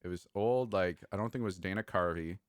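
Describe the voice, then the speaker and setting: A man's voice: low-pitched, a little fast, smooth, dry recording, clean studio sound. A man co-hosting a casual chat, joking around and sometimes trailing off mid-thought. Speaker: podcast co-host.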